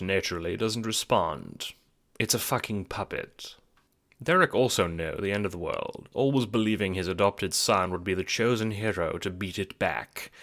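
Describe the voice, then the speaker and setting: A man's voice: low-pitched, a bit bright. A man narrating a scripted audio drama in a theatrical, wry, rhetorical storytelling style. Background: music.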